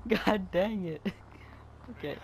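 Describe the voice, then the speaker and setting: A man's voice: high-pitched, creaky, a little hiss or hum. A young man gaming online with friends, prone to confused outbursts and laughing fits on voice chat. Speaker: gamer. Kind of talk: casual gaming banter.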